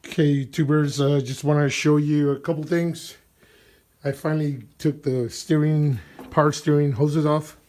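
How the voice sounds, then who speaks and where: Hoarse man with a cold filming his garage work. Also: on the high side, steady tone, moderate pace, a little mellow. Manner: rambling, filler-heavy, clears throat often. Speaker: DIY car mechanic vlogger. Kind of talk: casual workshop walkthrough. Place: indoor garage under a vehicle. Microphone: phone camera held while working.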